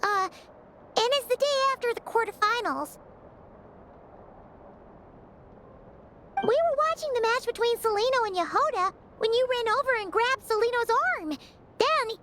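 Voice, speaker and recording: Tiny excitable fairy sidekick for a game, squeaky, fussing, full of quick worried questions. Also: female voice, slight background noise. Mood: explaining. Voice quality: very high, squeaky. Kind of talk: game cutscene voice acting.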